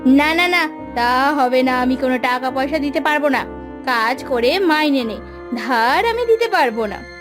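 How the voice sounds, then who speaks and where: A woman's voice: high-pitched, lively intonation, a little slow, over music. A woman voicing a bossy, stingy household mistress in a cartoon, curt and scolding as she gives orders. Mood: curt refusal.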